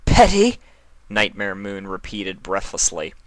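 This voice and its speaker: A man reading scripted story narration as the narrator, in a measured, dramatic manner. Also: low-pitched, steady tone, sharp tone, dry recording.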